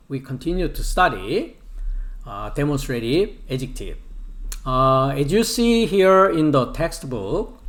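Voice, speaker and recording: Korean-accented guy teaching a bible greek class, lots of uh's, slow, kinda high-pitched. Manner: slow and deliberate with frequent "uh" pauses. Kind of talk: classroom lecture.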